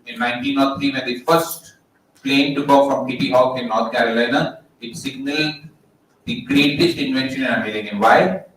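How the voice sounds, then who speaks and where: A young man with an Indian English accent and a loud voice, telling a joking story to a live audience and asking them questions. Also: mid-range voice, steady tone, normal speed. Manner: jokey, asks the crowd questions. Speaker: motivational speaker. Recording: echoey hall, distant mic.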